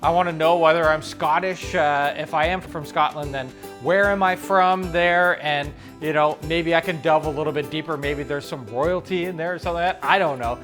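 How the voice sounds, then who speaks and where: A middle-aged man from Canada, co-hosting a travel vlog in relaxed casual chat, thinking aloud. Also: fairly high pitch, normal speed, faint music behind. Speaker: travel vlogger co-host.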